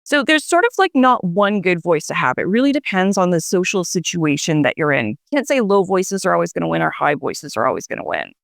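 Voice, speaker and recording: A woman's voice: lowish voice, sing-song, fairly quick, gravelly, few pauses, no room sound, clean studio sound. A woman psychology researcher explaining her findings in a radio interview, with frequent hedging fillers.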